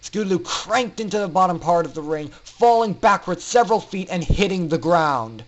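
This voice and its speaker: A man's voice: high-pitched, lively intonation, moderate pace, muffled recording. A man narrating a fan fiction story in a dramatic reading style.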